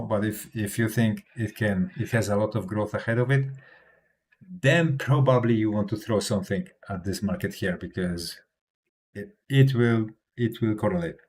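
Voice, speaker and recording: Hesitant low-voiced European man. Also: a little mellow. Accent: non-native English. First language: not English. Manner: hesitant, many fillers and restarts. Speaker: crypto livestreamer. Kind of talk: casual livestream talk.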